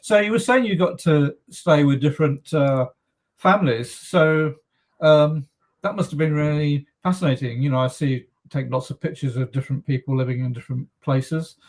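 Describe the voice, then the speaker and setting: A man's voice: a little high, moderate pace. A man hosting a podcast, asking long, rambling questions full of fillers. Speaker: podcast host. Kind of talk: casual interview chat.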